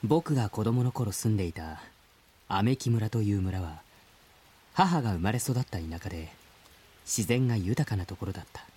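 A wistful male narrator in a radio drama, played by an actor. He speaks low and softly, slow and reflective, as if remembering a rural childhood. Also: animated, a little hiss or hum, lightly acted.

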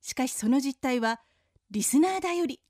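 A woman's voice: high-pitched, expressive, moderate pace, a little mellow, dry recording, studio quality. A woman reading scripted narration as the announcer of a program.

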